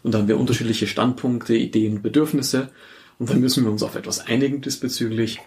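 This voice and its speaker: A man's voice: medium pitch, steady tone, fast talker, a little mellow. A man with an Austrian accent giving conversational answers as an interview guest.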